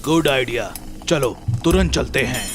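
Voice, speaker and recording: A man's voice: mid-range voice, animated, unhurried, slight background noise, close to an everyday voice. A man acting a blustering comic figure in a cartoon story, with theatrical, exaggerated delivery. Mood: blustering, exasperated. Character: blustering male police officer and boastful male thief in comic story.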